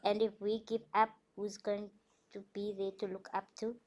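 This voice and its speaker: A woman's voice: mid-range voice, level tone, moderate pace, a little mellow. A woman from South Africa, a dance artist, speaking earnestly on a panel and laughing between thoughts. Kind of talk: panel discussion.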